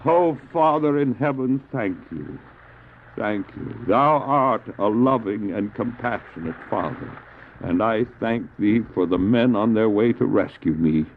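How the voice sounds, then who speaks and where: A man's voice: lowish voice, vocal fry, exaggerated voice. Man acting a devout stranded missionary, tinny cassette drama. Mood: grateful prayer. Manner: solemn, reverent, earnest, deliberate dramatic phrasing. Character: devout male missionary stranded on drifting ice, recounting his ordeal. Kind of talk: audio drama acting with narrated recollection and prayer. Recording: muffled phone-like old cassette drama, music.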